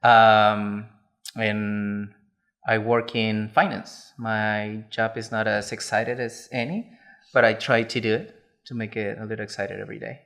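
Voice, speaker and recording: A man in his forties from Peru tells his story as a podcast guest in plain, easygoing sentences. His voice sits on the lower side, and he keeps a relaxed, good-natured manner. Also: measured pace. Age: 40s. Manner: plain-spoken, good-humored, simple phrasing. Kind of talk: casual podcast interview.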